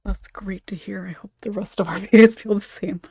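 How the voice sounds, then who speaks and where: A woman's voice: mid-range voice, steady tone, fairly quick, a little mellow, slightly rough, dry recording, studio quality. A woman hosting a casual podcast panel, talking informally as moderator.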